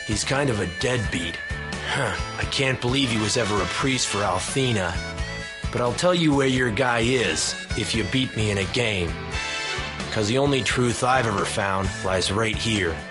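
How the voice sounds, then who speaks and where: A man's voice: on the low side, natural voice. A man playing a cynical, cocky gambler in an anime game, with a casual, taunting delivery. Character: cocky, cynical male gambler, roguish tough guy. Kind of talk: anime game cutscene acting. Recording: loud guitar music underneath. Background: loud plucked guitar music.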